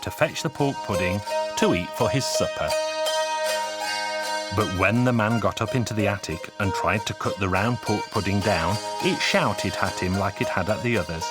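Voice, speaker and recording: A man narrating a scripted folk tale in a measured, expressive storybook manner. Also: lowish voice. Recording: music under the voice. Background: music.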